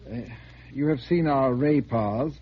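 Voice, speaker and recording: A man playing a calm, condescending alien emissary with formal, measured speech in a 1950s American radio drama. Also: medium pitch, husky. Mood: calm, superior. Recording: muffled, noisy vintage 1950s radio recording.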